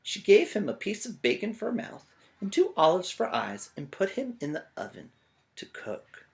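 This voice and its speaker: A man reading aloud to children in a joking, expressive manner. His pitch swings widely and his voice often drops into creak. He breaks off for playful asides like a teacher. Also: normal speed.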